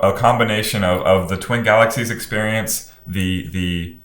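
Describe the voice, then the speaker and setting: Low-voiced man in an echoey room. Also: steady tone, normal speed, a bit bright. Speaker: podcast co-host. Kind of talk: casual movie discussion.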